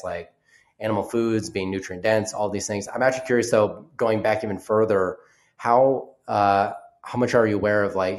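Male co-host, slightly low register, informal interviewer who talks questions through conversationally. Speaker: podcast co-host.

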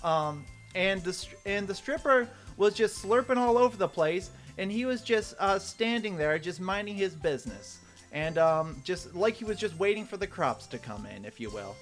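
A man with a fairly high voice and lively, swinging intonation chats as a podcast co-host. He tells stories casually and jokingly, slipping into mock-announcer asides, with music behind him. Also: moderate pace. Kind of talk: comedic casual storytelling and banter.

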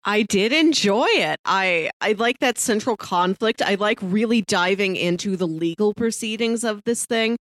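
A woman's voice: mid-range voice, normal speed, few pauses, a bit bright, no echo. A woman co-hosting a podcast, giving her opinions in casual review chat. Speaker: podcast co-host.

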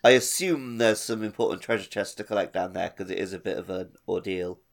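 A man's voice: low-pitched, brisk, vocal fry. A man co-hosting a gaming podcast, speaking in a casual, conversational way. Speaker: podcast co-host.

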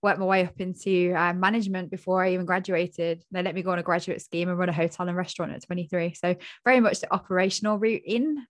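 A woman in her forties, a podcast guest, telling her career story briskly and confidently. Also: lowish voice, dry recording, studio quality. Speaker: guest, community founder. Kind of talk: casual interview talk.

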